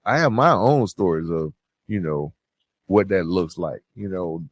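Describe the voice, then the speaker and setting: A man with a fairly low voice delivers a motivational podcast monologue in a close, dry recording. He talks conversationally and straight to his listeners, stressing key words as he goes. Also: animated, measured pace, slightly rough, no room sound. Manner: conversational, addresses listeners directly as bro, man, guys. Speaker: podcast host.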